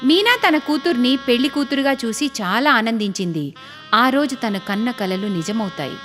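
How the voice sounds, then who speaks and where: A woman's voice: on the high side, expressive, moderate pace. A woman narrating a scripted moral story. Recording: long hall reverb, music underneath. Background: background music.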